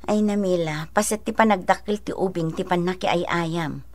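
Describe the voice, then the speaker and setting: An actress playing a sensible mother and elder sister who gives gently admonishing advice in a radio drama. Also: on the low side, moderate pace, close to an everyday voice. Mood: patient advice. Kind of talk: Ilocano radio drama acting.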